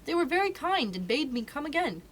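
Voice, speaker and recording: A woman voicing a sulky, boastful young boy raised in the jungle, whiny and excitable. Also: sits high, brisk, slight background noise, pitched above the performer's own voice. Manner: whiny and excitable, shouting at times.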